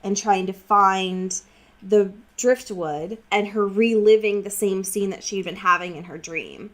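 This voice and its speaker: A woman's voice: mid-range voice, measured pace. A woman co-hosting a podcast in a casual book discussion, talking in a wry, informal, slangy way and acting out lines as she recaps. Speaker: podcast co-host.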